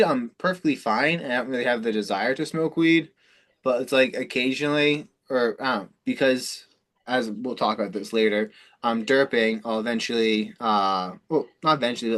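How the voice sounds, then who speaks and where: A man's voice: mid-range voice, a bit bright. Rambling man in casual talk. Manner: rambling, informal, frequent swearing. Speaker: host of a self-improvement conference call. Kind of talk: casual, unscripted explanation on a call.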